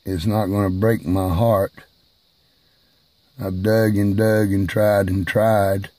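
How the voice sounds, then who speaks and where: A man speaking slowly and haltingly in a personal video monologue, who is hard of hearing and raises his voice at times. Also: fairly low pitch, flat delivery, mellow, no room sound. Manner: slow, halting, emphatic delivery.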